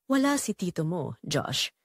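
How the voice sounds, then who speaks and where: A woman's voice: on the low side, sing-song, unhurried, breathy edge, no echo, exaggerated voice. Bold, seductive Filipino woman of hidden longing, voiced by a female narrator, audio drama, swooping intonation, dry sound. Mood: intimate, teasing.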